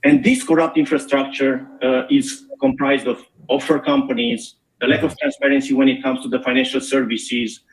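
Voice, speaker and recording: A middle-aged man from Romania, an investigative journalist, gives an earnest, measured talk at a forum with frequent filler pauses. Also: medium pitch, level tone, rough recording. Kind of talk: prepared public talk.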